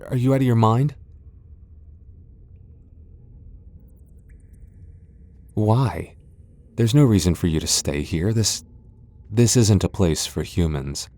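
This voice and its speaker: A man's voice: fairly low pitch, animated, moderate pace, dark tone, close to an everyday voice. A man playing a proud, guarded tsundere vampire lord in an ASMR roleplay, with a haughty, sardonic and reluctant manner. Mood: exasperated. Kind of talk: ASMR boyfriend roleplay audio drama.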